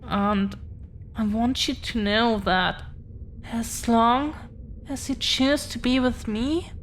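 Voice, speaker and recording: A woman's voice: a little high, a little hiss or hum. A woman playing a nervous, protective dragon-blooded girlfriend in an intimate audio roleplay, speaking hesitantly, earnestly and tenderly.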